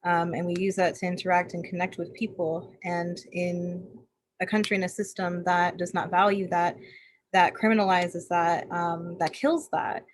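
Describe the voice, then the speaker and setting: A woman of Barbadian family who grew up in the United States, sharing personal stories as a panelist, in a lively, animated way. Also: on the low side, normal speed. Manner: lively, laughs easily, animated storytelling. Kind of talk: personal story in a panel discussion.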